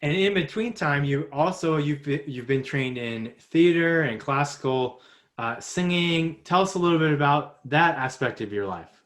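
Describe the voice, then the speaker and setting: Friendly male podcast host, higher voice. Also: normal speed, fairly bright tone. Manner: friendly, asks questions with small restarts. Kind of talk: interview host.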